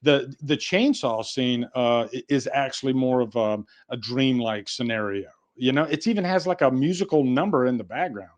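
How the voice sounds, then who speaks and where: Male podcast co-host, mid-pitched, conversational, feeling his way through explanations. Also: normal speed, hardly any pauses. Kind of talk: casual film and TV discussion.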